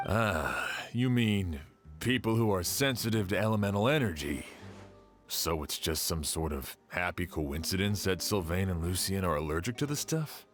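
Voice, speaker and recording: A man's voice: sits low, animated, moderate pace, faint music behind. A man voicing a skeptical male official in a video game who questions every claim. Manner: questioning and doubtful.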